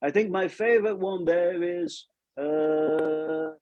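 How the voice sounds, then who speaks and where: A man voicing a jolly, genteel puppet old chap with a drawn-out, chummy delivery. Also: on the high side, darkish tone, muffled recording. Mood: jolly, playful. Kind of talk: live puppet show character voice.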